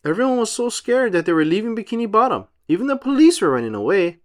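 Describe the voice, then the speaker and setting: Male storybook reader, slightly high voice, very lively intonation, narrating for kids. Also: quick pace. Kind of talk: animated storybook read-aloud.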